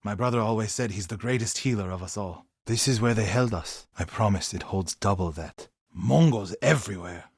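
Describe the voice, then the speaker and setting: An actor playing an earnest, devout and loyal warrior monk in a video game, husky and solemn, with formal, dramatic delivery. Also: male voice, fairly low pitch, normal speed, few pauses, dry recording, clean studio sound.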